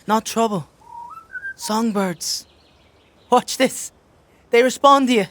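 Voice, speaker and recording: An actor playing a naive, caring young farm boy in a dark fantasy audio drama, informal and earnest in manner. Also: medium pitch, takes it slowly, noisy background, lightly acted. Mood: curious, gentle.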